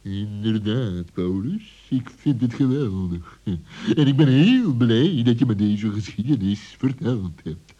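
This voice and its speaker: A man's voice: medium pitch, lively intonation, a little slow, warm tone, lo-fi sound. A man playing a sly fox in a children's radio play, wily and condescending in a falsely kindly way, on an old recording. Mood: sly, soothing.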